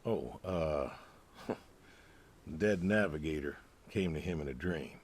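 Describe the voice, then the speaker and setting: A man's voice: rumbling low, lively intonation, slow, slightly rough, full character voice. A man playing an aging, wistful, plainspoken pig farmer, terse and folksy in naturalistic video game dialogue. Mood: matter-of-fact, dry.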